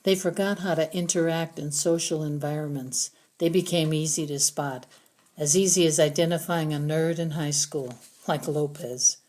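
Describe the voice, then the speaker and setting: Low-pitched woman narrating an audiobook. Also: steady tone, normal speed, darkish tone. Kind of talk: first-person scripted narration of a young adult novel.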